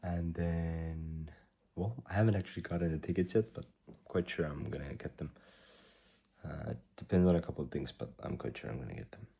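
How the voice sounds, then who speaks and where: A man in his twenties, a startup founder, recording a rambling video diary at a hushed volume while slightly sick with a cough. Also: a bass voice, vocal fry, breathy edge. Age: 20s. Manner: rambling, halting, self-correcting; keeps his voice down.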